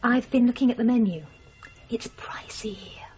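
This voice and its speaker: An actress playing a bereaved sister who asks plain questions, in a 1980s radio drama. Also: female voice, on the high side, normal speed, darkish tone, faint music behind, lightly acted. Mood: deflated. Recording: dull, muffled radio broadcast recording.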